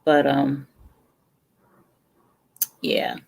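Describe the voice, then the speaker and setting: A woman's voice: rumbling low, big pitch swings, extremely slow, gravelly, a little mellow. A middle-aged woman from the Bronx with a New York accent, chatting casually with viewers on a home livestream and rambling between sips of her drink. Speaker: livestream host. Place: home room with brick wall.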